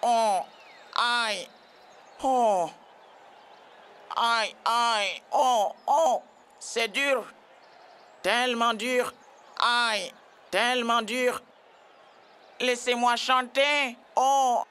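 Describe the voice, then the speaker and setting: Bright-voiced, cocky cartoon animal. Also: female voice, on the high side, steady tone, extremely slow, pauses often, clear, resonant tone. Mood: pained, struggling. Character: cocky cartoon animal racer, soon struggling and whining. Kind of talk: French-dubbed cartoon acting.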